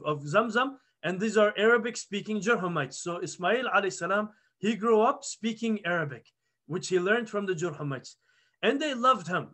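A man in his thirties teaches a religious study circle from a plain indoor room, speaking into a webcam. His voice sits high for a man, and he tells the story earnestly, often restarting his phrases. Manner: earnest, animated retelling with restarts. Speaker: halaqa teacher. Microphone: webcam video call.

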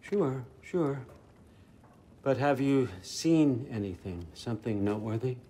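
Probing old god, acted by a man, mellow-voiced. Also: medium pitch, animated, lightly acted. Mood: suspicious, probing. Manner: deliberate, pointed questioning. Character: old, scheming, probing male Norse god ruler. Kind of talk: video game voice acting.

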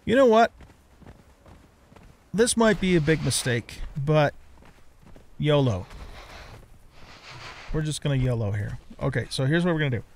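A man's voice: on the high side, lively intonation, measured pace, pauses often, darkish tone. An older man giving casual, informal video game commentary, thinking aloud as he plays. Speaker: gaming streamer, let's player.

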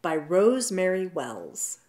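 A woman reads a children's picture book aloud. Her pitch is lively and swoops around while the pace stays slow, with expressive, drawn-out storybook phrasing. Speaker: storytime reader. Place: indoors at home, seated on a leather couch.

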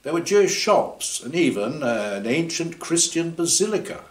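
A man playing an insistent expedition member in a short story, piping up in protest. His voice is pushed high and emphatic, in an echoing room. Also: very animated, normal speed.

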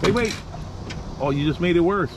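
A man's voice: on the high side, moderate pace. A man with a South Louisiana accent joking and teasing as kids fish from a small boat outdoors. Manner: teasing, playful banter. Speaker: adult on a family fishing trip. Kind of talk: casual outdoor chat. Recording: noisy outdoor recording.

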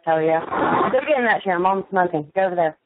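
A woman's voice: fairly low pitch, animated, quick pace. A woman chatting casually on the phone in a blunt, slangy way. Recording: tinny phone line, faint noise.

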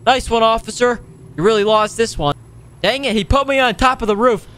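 A young man doing casual, excitable roleplay commentary over a video game, animated and slangy with frequent exclamations. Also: extremely high pitch, a little fast. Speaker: gaming video host.

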